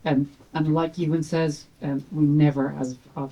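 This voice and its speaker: A woman's voice: low voice, level tone, moderate pace, warm tone, low-quality recording. A woman from Ireland with an Irish accent, asking a question from the audience in a rambling, conversational way. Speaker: audience member.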